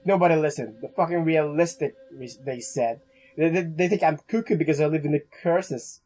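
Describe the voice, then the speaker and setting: A man's voice: fairly high pitch, fairly quick, darkish tone. A young man hosting a let's play, reading story text aloud with casual asides and often stumbling over words.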